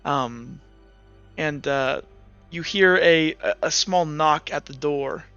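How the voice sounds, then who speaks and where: A man's voice: a little high, takes it slowly, pushed and bright, clear, resonant tone. A young man as game master, narrating the scene in second person with hesitant fillers and restarts. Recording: slightly muffled, with faint music.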